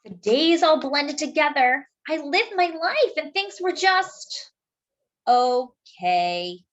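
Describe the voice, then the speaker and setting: A woman reading a children's picture book aloud, pausing to point out details in the pictures. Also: sits high, lively intonation, fairly bright tone, dull audio. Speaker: children's storyteller.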